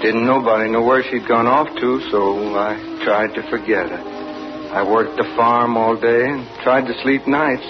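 A man's voice: mid-range voice, fairly even intonation, moderate pace, close to an everyday voice. Man playing an earnest young farm son. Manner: plain rural speech, anxious. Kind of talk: 1940s radio acting. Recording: muffled old radio recording with music.

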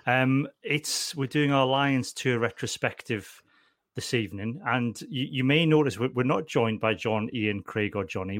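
Male podcast host, mid-pitched, jokey and chatty, dry room. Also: no room sound. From Scotland. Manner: chatty, jokey, many restarts. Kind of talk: casual podcast chat.

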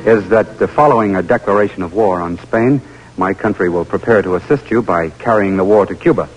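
An actor playing an intense, driven American army lieutenant in an old radio drama, with a dramatic delivery. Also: male voice, lowish voice, fairly quick, lo-fi sound, lightly acted. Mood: pleading. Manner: dramatic, urgent. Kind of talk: old-time radio drama acting, first-person narration.

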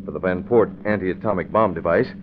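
A narrow, noisy old radio recording of a man playing a daring American crime fighter. His voice is deep, and he talks with brisk authority and urgency. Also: natural voice. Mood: purposeful. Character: daring young publisher, masked crime fighter, decisive and commanding. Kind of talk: 1940s radio acting.

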